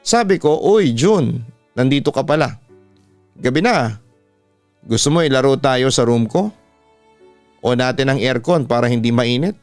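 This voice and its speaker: A man's voice: mid-range voice, smooth, no room sound. Male Filipino narrator for scary listener stories, clean resonant tone, dry sound, light music bed. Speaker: radio announcer and host reading listeners' stories. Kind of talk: scripted horror story narration. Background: background music.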